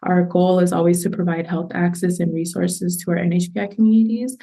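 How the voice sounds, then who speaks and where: A woman media coordinator giving an even, measured self-introduction on a virtual panel. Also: lowish voice, creaky.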